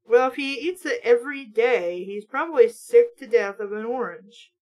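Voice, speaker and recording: A woman's voice: on the high side, normal speed, muffled recording. A woman reading a story aloud and breaking in with sarcastic, exasperated, sweary commentary as host of a mock book show.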